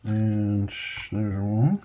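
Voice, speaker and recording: Slow-talking man muttering over hands-on work. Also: lowish voice, warm tone. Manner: folksy, muttering to himself while working. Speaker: hobbyist host demonstrating an electronics conversion. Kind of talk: casual hands-on workbench narration. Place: indoors at home workbench. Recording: clearly noisy home recording, clicks.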